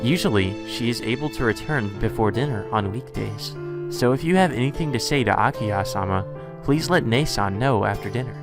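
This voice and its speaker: A man voicing a polite, soft-spoken young maid in a visual novel, formal and deferential, with music behind. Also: mid-range voice, lively intonation, a little fast, lightly acted. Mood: polite, subdued.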